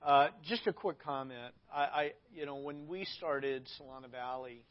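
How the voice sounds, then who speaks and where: A man's voice: medium pitch, normal speed. A man, a pastor hosting a casual interview, talking in a rambling way with restarts. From Arkansas. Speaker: pastor and host. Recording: dull lo-fi audio.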